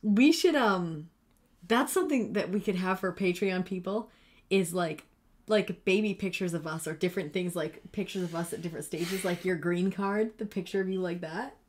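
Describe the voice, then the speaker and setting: A woman's voice: fairly low pitch, normal speed, slight background noise. A woman co-hosting a casual live chat, teasing her partner and laughing often. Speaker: co-host.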